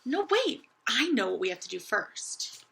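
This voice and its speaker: A woman's voice: on the high side, very animated, unhurried, creaky, noisy background, heavily acted. A woman voicing a bold, curious young girl doll in a children's story, with an eager, wide-eyed manner. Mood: decisive, excited. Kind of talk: children's audiobook character voice.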